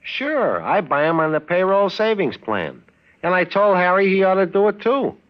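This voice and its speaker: An actor playing a cheerful, hapless American husband in a radio comedy sketch, with a quippy, placating manner. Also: male voice, high voice, very animated, normal speed. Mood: placating, earnest. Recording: muffled vintage radio recording.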